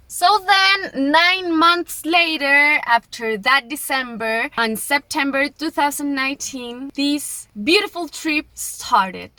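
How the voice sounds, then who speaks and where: A woman's voice: high voice, pushed and bright, no echo. A young woman from Colombia with a Colombian accent and Spanish as her first language, talking casually to camera as a travel vlogger. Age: young adult.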